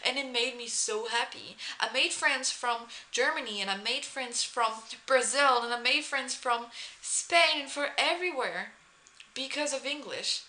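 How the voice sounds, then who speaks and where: A young woman with a French accent, a native French speaker, chatting casually to camera with many pauses and restarts. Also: high-pitched, sharp tone, poor audio. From France. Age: young adult. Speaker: vlogger, former exchange student.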